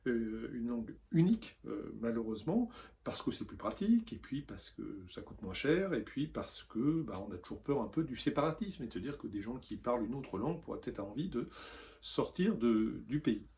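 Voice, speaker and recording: A man from France speaks earnestly to camera as a weekly columnist. His voice is mellow and warm, at a middling pitch, and he pauses and restarts often as he makes his case. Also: big pitch swings, creaky. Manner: earnest, with frequent hesitations. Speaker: newsletter columnist. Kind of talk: opinion video commentary.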